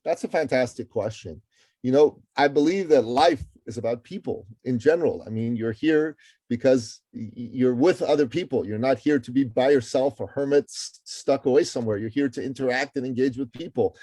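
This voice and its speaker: A man's voice: mid-range voice, brisk, dark tone, no room sound. A middle-aged man from Iran, a guest author and entrepreneur, talking energetically and emphatically in an interview, often restarting words. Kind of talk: interview conversation.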